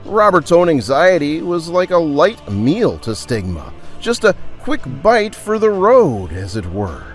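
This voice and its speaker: Animated man narrating a dramatic story. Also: fairly high pitch. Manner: theatrical, emphatic pauses.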